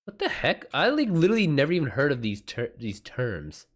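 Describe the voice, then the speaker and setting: A man talks casually as he hosts a live stream, reading and reacting to his chat. His mid-range voice moves up and down in a very lively way. He is informal, exclamatory and quick to swear. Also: mid-range voice.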